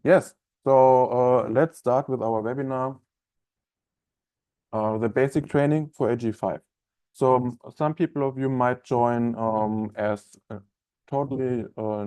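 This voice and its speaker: A man in his thirties with a German accent, chatting as a trainer in a live online software webinar, with many fillers and restarts. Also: medium pitch, no echo. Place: office room, indoors.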